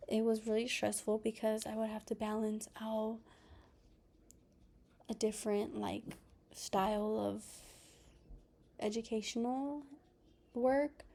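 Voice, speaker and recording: A young woman whose first language is Spanish gives a class presentation, partly read and partly personal, speaking softly with pauses and restarts. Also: medium pitch, vocal fry. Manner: slow and soft-spoken, with pauses and restarts. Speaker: student presenter.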